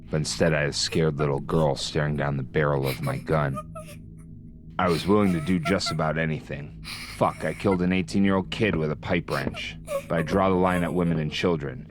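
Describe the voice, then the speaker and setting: A man playing a grim, terse, foul-mouthed hitman antihero, narrating a hardboiled noir game story. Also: extremely low pitch. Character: brooding hitman antihero with a rigid moral code. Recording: music behind the voice.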